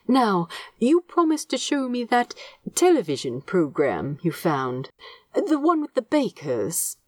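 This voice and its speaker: A woman voicing a gentle, fussy, ancient male angel bookseller in an audiobook, speaking tenderly and softly, often close to a whisper. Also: fairly high pitch, wildly swooping pitch, slow pace, dry recording, exaggerated voice. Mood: kindly tactful. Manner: tender, soft, often dropping into near-whisper. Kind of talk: audiobook character dialogue.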